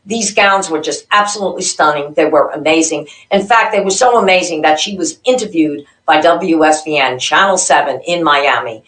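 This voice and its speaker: Woman show host doing a recap, lowish voice, gushy and excited, sounds far off in a roomy echo. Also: normal speed, few pauses. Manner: gushing, enthusiastic praise. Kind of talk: recap narration introducing guests.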